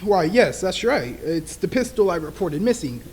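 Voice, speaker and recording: Casting: man as high-pitched, suspicious husband for a vintage radio mystery, clipped, indignant, protective. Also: very animated, normal speed, darkish tone, a little hiss or hum, poor audio. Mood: puzzled, concerned. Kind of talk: old-time radio play acting.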